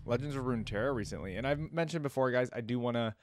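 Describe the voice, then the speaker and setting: A man's voice: mid-range voice, quick pace, slight background noise. A young man hosting a reaction video in a casual, conversational way, commenting and reading aloud as he thinks things through. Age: young adult. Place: indoor home streaming setup.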